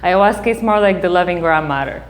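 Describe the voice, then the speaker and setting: Woman, forties, Bulgarian accent, psychotherapist, lively casual talk, laughs often. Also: fairly low pitch, low-quality recording. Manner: animated, laughs often, quick bursts of excitement.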